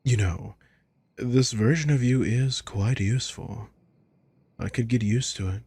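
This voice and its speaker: Man performing a sadistic male villain, very husky and breathy, slow deliberate taunts, condescending, controlling, ASMR roleplay. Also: medium pitch, darkish tone, no echo, pitched above the performer's own voice. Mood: menacing, possessive.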